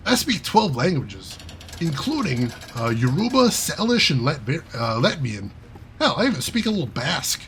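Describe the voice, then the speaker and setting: A man's voice: on the high side, big pitch swings, normal speed, fairly bright tone, a little hiss or hum. A man reading aloud and voicing a wry male hacker character who tells a self-deprecating heist story in a chatty way. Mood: boastful.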